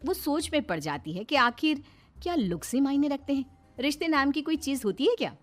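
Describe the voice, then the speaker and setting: A woman narrating a moral story in an expressive, scripted storytelling style. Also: high voice, a little fast, faint music behind.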